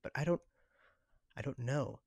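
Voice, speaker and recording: A man's voice: medium pitch, measured pace, vocal fry, no echo. A man talks in a mid-range voice as a podcast co-host. He sounds close and dry, and he feels his way through his points in a loose, conversational style. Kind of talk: casual chat.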